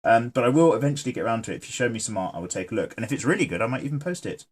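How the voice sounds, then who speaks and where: Lively, jokey man chatting while sketching. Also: mid-range voice, racing, slightly rough. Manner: chatty, jokey, reads viewer comments aloud. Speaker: artist and channel host. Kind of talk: casual chat while sketching.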